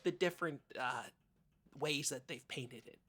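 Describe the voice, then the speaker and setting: Anxious small-town co-host, played by a woman: husky, tense edge, hesitant and self-doubting on a local news show. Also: rumbling low, moderate pace, fairly bright tone. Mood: nervous, hesitant. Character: nervous, unsure, earnest small-town co-host named Parker. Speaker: co-host of a comedy news podcast. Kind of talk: improvised mock local public-access news chat.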